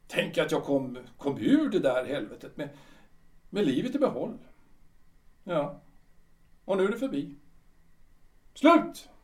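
A man's voice: high voice, a little mellow, far from the mic. A man playing a proud, brooding retired official in his sixties in a radio drama, speaking theatrically and repeating himself emphatically. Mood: defiant, weary. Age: older. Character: proud, brooding 62-year-old retired official clinging to memories.

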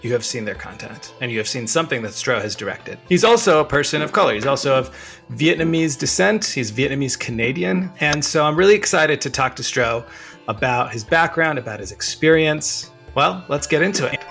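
A man's voice: medium pitch, lively intonation, brisk, vocal fry, fairly bright tone, soft background music. A man hosting a podcast interview, upbeat and eager, with frequent fillers. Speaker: podcast host. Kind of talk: casual interview chat.

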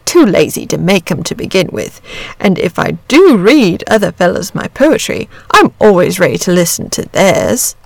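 A woman's voice: on the low side, big pitch swings, normal speed, gravelly, dry recording, full character voice. A woman voicing an amiable, lazy, bashful male dragon from a storybook, chatty, rambling and genteel. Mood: cheerful. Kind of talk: storybook character reading.

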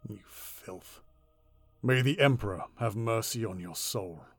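A man's voice: on the low side, expressive, measured pace, dry recording. A man playing a stern, composed military captain in an audio drama. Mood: cold judgement.

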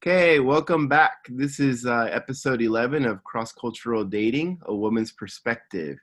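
Mężczyzna, swobodny prowadzący podcastu. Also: male voice, mid-range voice, moderate pace. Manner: relaxed, hesitant with fillers. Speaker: podcast host. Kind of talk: casual interview chat. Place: Japan.

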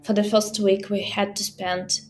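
A young Ukrainian woman speaking English as a second language, slowly and with little rise and fall in her voice. She talks in a halting, careful way, pausing and filling gaps as she goes. Faint music plays underneath. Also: mid-range voice, little pitch movement. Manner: halting, careful speech with pauses and fillers. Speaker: interviewee, language teacher. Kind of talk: personal account in a podcast interview.

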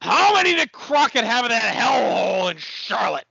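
Raspy, high, manic wrestling tycoon. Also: male voice, very animated, no room sound, highs cut off, heavily acted. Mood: boastful. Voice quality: gravelly, strained growl. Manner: bombastic, abruptly shouting, drawn-out emphasis. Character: brash, boastful, manic wrestling tycoon boss. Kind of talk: comedic audio play acting.

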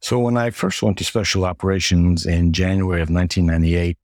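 A man with a very deep voice, Hungarian his first language, answering interview questions in a steady, methodical way. He strings his points into long lists and often repeats words as he goes. The sound is close and dry. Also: quick pace, no room sound. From United States. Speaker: guest, retired Air Force medic.

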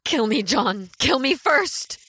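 A woman's voice: high-pitched, sing-song, slow pace, bright, forceful tone, breathy edge, no room sound, full character voice. A woman playing a terrified pleading woman. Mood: desperate. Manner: pleading, breathless cries. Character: frightened young woman in danger.

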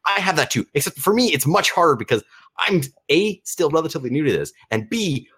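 A man's voice: fairly high pitch, big pitch swings, fairly quick, fairly bright tone, husky. A teenage boy whose first language is Romanian, rambling animatedly as a caller on a call-in show and often restarting his sentences. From United States and Romania. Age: teens. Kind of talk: casual chat on a call-in show.

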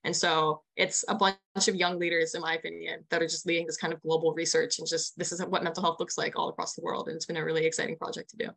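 Chatty young American woman, lowish pitch. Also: little pitch movement, fast, creaky, hardly any pauses, fairly bright tone. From United States. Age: early 20s. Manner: enthusiastic, chatty, frequent "like". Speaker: interview guest, young nonprofit founder. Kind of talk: casual spoken interview answers.

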